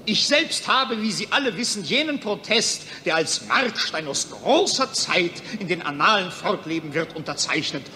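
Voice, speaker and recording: A man's voice: sits high, lively intonation, normal speed, hardly any pauses, bright, forceful tone, slight background noise, full character voice. A man acting a pompous, jingoistic psychiatrist presiding over colleagues in a declamatory 1940s radio play style. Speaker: actor. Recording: 1940s radio recording, long hall reverb.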